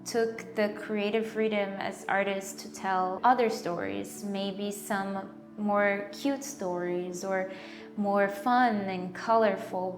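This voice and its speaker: Calm young woman designer, roomy sound. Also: medium pitch. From Brazil. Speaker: architectural designer and artist. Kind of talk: documentary interview. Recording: roomy with music bed.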